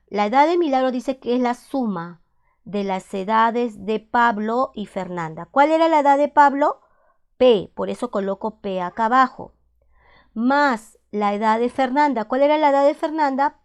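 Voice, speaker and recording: A woman math teacher, patiently explaining algebra step by step, repeating each point and asking checking questions. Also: fairly high pitch. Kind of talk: slow step-by-step classroom explanation.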